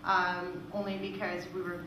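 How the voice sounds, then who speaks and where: Female presenter, explaining informally in Q&A; picked up from a distance in a highly reverberant room. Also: completely flat delivery, normal speed. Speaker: presenter from a music royalties organisation. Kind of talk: presentation with audience questions. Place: indoor presentation room with audience. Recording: echoey room, distant mic, noisy.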